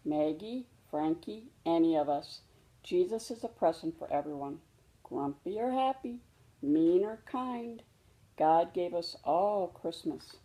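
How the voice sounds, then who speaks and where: Woman as a slow, gentle mother bear. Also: on the low side, lively intonation, rough recording. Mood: loving. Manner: gentle, reassuring explaining. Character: patient, loving mother polar bear teaching her cub.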